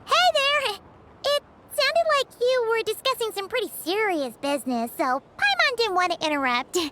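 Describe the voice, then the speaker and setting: A woman voicing a tiny, chirpy, childlike fairy companion in a video game, with a squeaky voice. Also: sing-song, normal speed, heavy background noise. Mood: polite, chirpy. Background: music.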